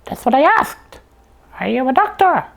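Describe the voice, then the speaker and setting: A woman's voice: high-pitched, sing-song, moderate pace, vocal fry, heavily acted. A woman voicing a proud, fussy puppet detective in a comic puppet show, bossy and self-important.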